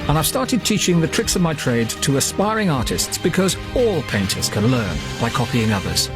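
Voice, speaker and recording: Animated man narrating a voice-over. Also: mid-range voice, moderate pace, over music. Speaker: narrator and art teacher.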